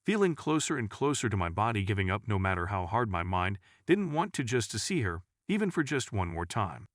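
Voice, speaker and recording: Man, low-pitched voice, scripted first-person storytelling narration, close dry sound. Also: big pitch swings, a little fast, dry recording.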